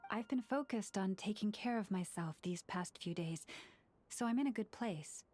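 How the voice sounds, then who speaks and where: A woman voicing a gentle, thoughtful young spiritual leader in a video game, speaking in a measured, formal way. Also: medium pitch, soft background music, natural voice. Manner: measured, soft-spoken, formal.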